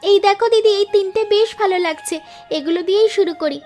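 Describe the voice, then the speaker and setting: A woman plays a young flower-selling girl in a cartoon fable. Her voice is extremely high and sweet, and she speaks earnestly and fondly, like a devoted little sister. Music plays underneath. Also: fast talker, darkish tone. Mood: eager. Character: eager, affectionate young younger sister, poor flower seller. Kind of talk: Bengali cartoon moral-story dialogue.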